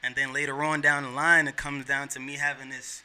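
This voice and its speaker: A man's voice: medium pitch, level tone, a little fast, bright, forceful tone, smooth. A man in his thirties from New York, a podcast guest talking in an earnest, heartfelt and slangy way. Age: 30s. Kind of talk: casual chat.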